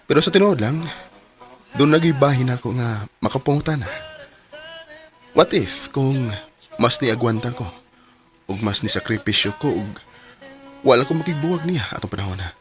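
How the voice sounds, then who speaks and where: A man's voice: medium pitch, expressive, normal speed, pauses often, soft background music, close to an everyday voice. A man playing a wistful young man. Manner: soft, hesitant, reflective. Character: young man regretting a lost love. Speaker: narrator and lead. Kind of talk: radio drama acting.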